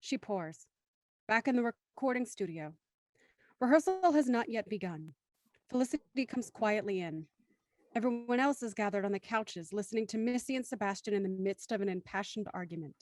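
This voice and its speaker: A woman's voice: medium pitch, animated, normal speed, a little mellow, natural voice. A woman narrating a scripted audio drama as its stage-direction narrator.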